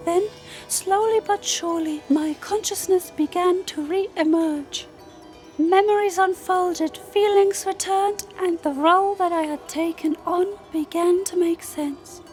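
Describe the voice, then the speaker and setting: A woman's voice: very high-pitched, fairly even intonation, darkish tone. A woman playing a serene, lofty female moon spirit in an audio drama, speaking formally and solemnly over music. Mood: solemn, confessional. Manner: formal, solemn, measured storytelling. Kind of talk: audio drama character monologue. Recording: music behind the voice.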